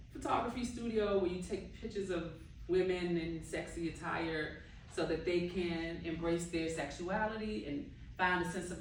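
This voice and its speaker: A woman's voice: lowish voice, slight background noise. A woman author speaking conversationally to an audience about her novel, with fillers and laughter. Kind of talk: book talk with audience. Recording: echoey room, distant mic.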